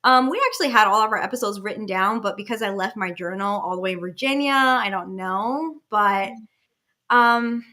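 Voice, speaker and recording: Bright-voiced woman hosting a podcast. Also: mid-range voice, normal speed. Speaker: podcast host. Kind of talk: casual podcast chat.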